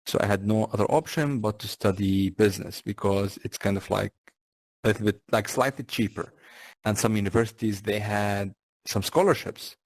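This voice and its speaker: A young man with a Syrian Arabic accent, an Arabic speaker, talking about himself in a relaxed, casual way with lots of "you know.". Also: lowish voice, normal speed, no room sound, poor audio. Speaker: guest. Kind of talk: casual conversation in VR.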